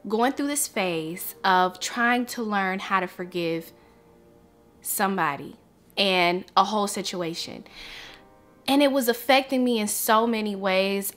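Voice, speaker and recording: A woman's voice: medium pitch, sharp tone. A young woman talking to camera on her vlog, earnest and reflective as she shares something personal. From United States. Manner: earnest, reflective, slow and heartfelt. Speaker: vlogger.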